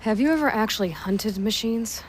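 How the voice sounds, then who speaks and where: A woman's voice: mid-range voice, normal speed, a little hiss or hum, natural voice. An actress playing a blunt, curious young woman hunter who is an outsider, in a video game cutscene. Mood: dry. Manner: dry, curious, matter-of-fact.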